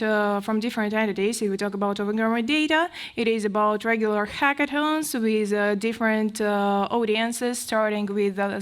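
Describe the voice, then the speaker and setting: A woman from Latvia with a Latvian accent giving a long, hesitant, explanatory answer as an academic on a panel. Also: medium pitch.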